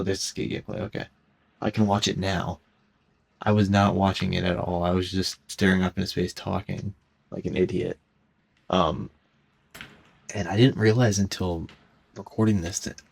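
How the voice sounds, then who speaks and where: Deep gravelly-voiced teen guy rambling. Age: teens. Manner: halting, restarts and stutters, self-conscious. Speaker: gaming commentator. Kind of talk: rambling casual commentary over gameplay. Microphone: cheap headset mic.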